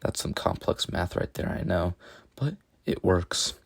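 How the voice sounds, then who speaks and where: A man with a rough voice narrating a video game strategy guide, explaining step by step in a casual, joking way. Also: sits low, expressive, measured pace, no room sound, studio quality. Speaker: gaming tutorial narrator. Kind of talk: gameplay commentary voice-over.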